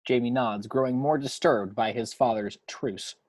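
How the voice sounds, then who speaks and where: A man's voice: mid-range voice, moderate pace, dry recording. A man reading action descriptions from a screenplay as scripted narration.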